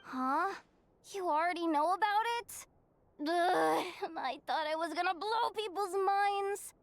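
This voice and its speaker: A woman voicing an excitable young girl broker in a video game, easily flustered, with stammered exclamations. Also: very high-pitched, heavily acted. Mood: amazed.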